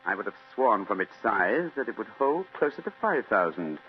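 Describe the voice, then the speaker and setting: An actor playing a calm, analytical gentleman detective with a British accent and a precise, formal manner, in 1940s radio style. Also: fairly low pitch, moderate pace, faint music behind. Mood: probing, measured. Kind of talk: 1940s radio drama acting. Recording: dull, humming old radio recording.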